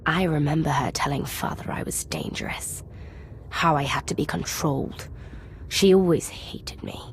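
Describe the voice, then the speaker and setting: An actress playing a cruel, bitter imperial villainess in a video game, sinister and theatrical in delivery. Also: female voice, sits low, big pitch swings, moderate pace, creaky, whispered, heavily acted, low-quality recording. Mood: bitter, resentful. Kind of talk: dramatic video game acting.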